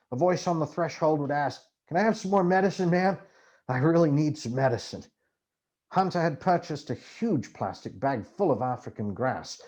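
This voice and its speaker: Highly animated male audiobook narrator. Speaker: amateur audiobook reader. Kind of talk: expressive first-person literary narration.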